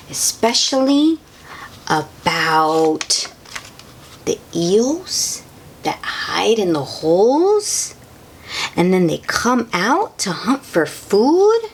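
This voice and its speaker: Slow-paced woman reading aloud to children. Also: medium pitch, slight background noise. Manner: child-directed, asks the listener questions, exclaims in wonder. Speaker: host reading picture books aloud to children. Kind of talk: children's read-aloud with simple commentary and questions.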